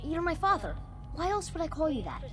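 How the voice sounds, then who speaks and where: A woman's voice: very high-pitched, big pitch swings, a little fast. A woman playing an earnest, anxious young boy in a game, childlike and pleading. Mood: eager, puzzled. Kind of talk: game cutscene acting. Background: music.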